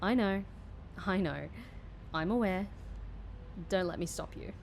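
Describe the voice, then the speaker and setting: A woman's voice: lowish voice, unhurried, vocal fry, darkish tone, slight background noise. A woman playing a wry, stubborn agent recovering from injury in an audio drama, with a dry, defiant manner.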